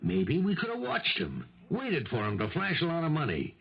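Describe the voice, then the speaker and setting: Gravelly, exasperated police lieutenant. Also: male voice, fairly high pitch, huge pitch swings, normal speed, exaggerated voice. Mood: scolding. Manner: scolding, world-weary. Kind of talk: radio mystery drama acting. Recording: muffled 1970s radio drama recording.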